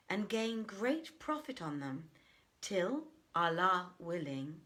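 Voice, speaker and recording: Woman reading as a boastful, animated daydreaming merchant man. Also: high voice, exaggerated voice. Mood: grand daydreaming. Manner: grandiose, self-absorbed musing. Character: boastful daydreaming glassware seller, a man greedy for riches and rank. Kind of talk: dramatic storybook reading.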